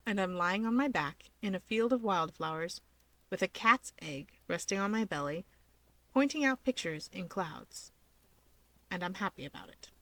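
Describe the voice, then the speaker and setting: A woman's voice: on the low side, a bit bright. A woman reading first-person fan fiction as the story's narrator.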